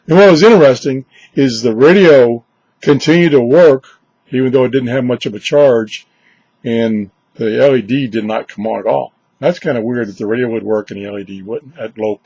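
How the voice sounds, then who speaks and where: A man's voice: mid-range voice, a little mellow, no echo, poor audio. A man giving a casual, unscripted product review in a conversational way, musing aloud with wry asides. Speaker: product reviewer presenting a gadget.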